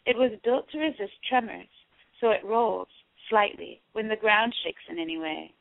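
A woman from Panama, a poet and artist guesting on a radio show, speaking in a reflective, measured way with frequent fillers and restarts. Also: mid-range voice, creaky, dry recording. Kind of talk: conversational interview and reading her own prose. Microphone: phone call. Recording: tinny phone line.

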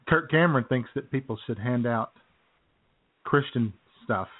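A man with an average-pitched voice sounds squeezed through a narrow, phone-like band. He banters casually as a comedy radio co-host, with a sardonic, crude streak.

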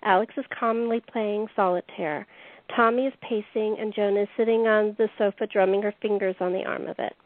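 A woman's voice: medium pitch, fairly even intonation, fairly quick. A woman narrating stage directions as the host of a radio play series, reading from a script. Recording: echoey room, tinny phone-like sound.

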